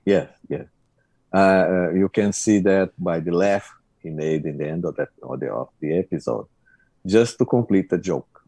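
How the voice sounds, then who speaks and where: A man co-hosting a casual podcast chat, speaking haltingly and often repeating his words. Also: low voice, dark tone, husky, dry recording. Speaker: podcast co-host.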